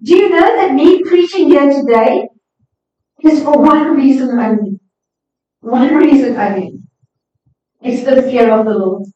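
A woman's voice: sits high, measured pace, pauses often, warm tone, slight background noise. A woman preacher delivering an emphatic, exhorting sermon to a congregation. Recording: echoey hall, distant, slightly dull sound.

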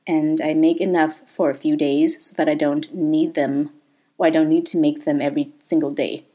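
A woman's voice: sits low, a little mellow. A woman in her thirties, born in Thailand, talking candidly as a podcast host and laughing often. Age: 30s. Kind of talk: personal podcast monologue.

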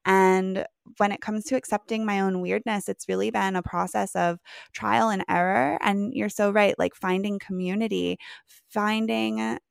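A woman's voice: medium pitch, steady tone, moderate pace, creaky, dry recording, clean studio sound. A woman hosting a podcast, in earnest, open conversation with a guest, stretching her words for emphasis. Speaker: podcast host. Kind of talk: candid interview chat.

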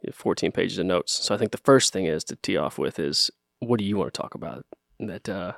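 A man's voice: sits low, lively intonation, a little fast, creaky, no room sound, clean studio sound. A man from Ohio hosting a casual church men's conversation, friendly and rambling, often restarting sentences. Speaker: podcast host.

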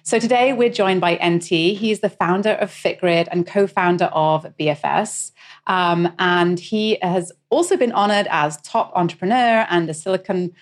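Lady podcast host, kinda low voice, lots of ums while asking stuff. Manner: warm introductions, fillers, quick laughs. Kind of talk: podcast interview.

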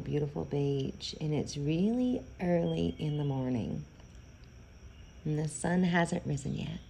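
Slow, mellow woman guiding a meditation. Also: deep voice, vocal fry, a little hiss or hum. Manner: gentle, unhurried, pausing often between phrases. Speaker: meditation guide. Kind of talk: guided meditation, soothing slow instruction.

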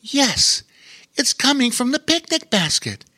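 A storyteller voicing an eager female bear, speaking slowly and excitedly. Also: high-pitched, no echo, clean studio sound, voice pushed up, exaggerated voice.

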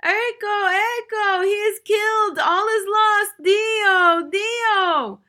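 A shrieking Italian mama. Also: female voice, very high-pitched, slow, pushed and bright, voice pushed up, exaggerated voice. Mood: wailing. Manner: shrieking, melodramatic wailing. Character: hysterical middle-aged Italian grocer's wife.

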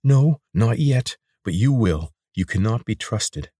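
A man's voice: fairly low pitch, lively intonation, a little fast, darkish tone, husky, no room sound, clean studio sound, pitched above the performer's own voice. A man voicing an angry, suspicious young woman guard who speaks sharply and accusingly. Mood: hostile.

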